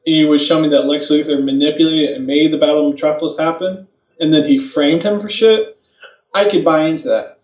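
A man's voice: fairly high pitch, darkish tone. A man co-hosting a podcast in casual debate, insistent and explanatory, on a muffled, distant recording. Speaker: podcast co-host. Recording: muffled, echoey, distant microphone.

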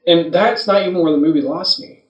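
A man's voice: on the high side, fairly quick. A man co-hosting a podcast in casual debate, insistent and explanatory, on a muffled, distant recording. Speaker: podcast co-host. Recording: muffled, echoey, distant microphone.

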